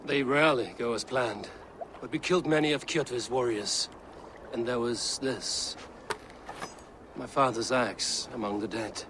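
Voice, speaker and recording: An actor voicing a steady male Viking raider hero with a measured, dry-witted delivery in a video game cutscene. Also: medium pitch, noisy background, natural voice. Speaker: game protagonist.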